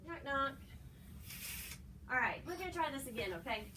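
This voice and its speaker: A woman's voice: high-pitched, expressive, normal speed. A woman acting a patient, placating nurse who coaxes and sets rules, a little hesitantly, in an amateur skit. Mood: gently firm. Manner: coaxing, hesitant. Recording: distant microphone, noisy room.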